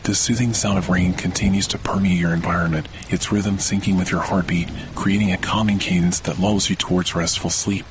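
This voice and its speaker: A man narrating a scripted guided sleep meditation in a slow, soothing, deliberate way with long pauses. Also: sits low, slight background noise. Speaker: podcast host, meditation guide. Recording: steady rain and thunder behind voice. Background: rainfall with thunder.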